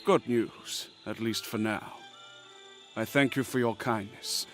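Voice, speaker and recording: A man's voice: on the low side, fairly even intonation, takes it slowly, faint music behind. A man playing a devout, courteous worshipper in a fantasy game, speaking earnestly and formally. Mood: anxious, grateful. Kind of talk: fantasy game character acting.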